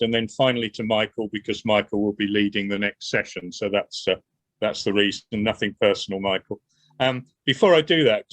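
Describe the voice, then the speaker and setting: A man moderating a panel discussion, measured, with frequent fillers. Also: fairly low pitch, fairly bright tone, no echo.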